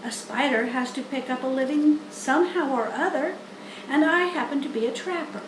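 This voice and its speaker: A woman's voice: high-pitched, roomy sound, background noise, natural voice. A woman voicing a small female spider from a children's storybook, pleasant, composed and matter-of-fact, with a thin, genteel voice. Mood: frank, relishing. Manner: measured, genteel, candid. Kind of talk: children's storybook character reading.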